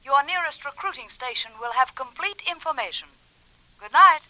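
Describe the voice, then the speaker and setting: A woman's voice: high-pitched, expressive, normal speed, pushed and bright, dry recording. A woman announcing in the scripted manner of a 1940s radio sign-off. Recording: dull, lo-fi old radio recording.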